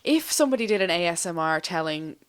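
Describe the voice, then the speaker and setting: A woman's voice: fairly low pitch, animated, quick pace, smooth. A woman co-hosting a casual conversation, asking curious, thoughtful follow-up questions.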